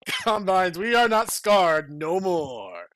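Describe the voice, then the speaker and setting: A man's voice: high-pitched, very animated, no room sound, voice pushed up, heavily acted. Man putting on an over-dramatic, declaiming young hero. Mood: defiant, heroic. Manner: declamatory, drawn-out, loud.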